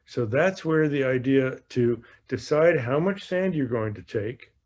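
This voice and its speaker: Guy golf coach doing a q&a, chatty teaching, kinda halting, repeats himself. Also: medium pitch, darkish tone. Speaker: golf coach hosting an online Q&A. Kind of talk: conversational instruction.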